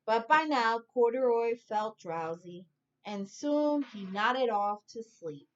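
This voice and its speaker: A woman reads a children's storybook like a teacher. Her voice is fairly high, and she goes very slowly with emphatic expression. The recording is slightly dull. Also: lively intonation. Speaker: teacher reading aloud. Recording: dull lo-fi sound.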